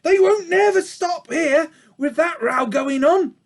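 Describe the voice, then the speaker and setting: A man's voice: very high voice, animated, slow, voice pushed up, heavily acted. A man voicing an old, motherly country sheep in a storybook, puffing and speaking in a folksy rural way. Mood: urgent. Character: old motherly country ewe, fretful and kind.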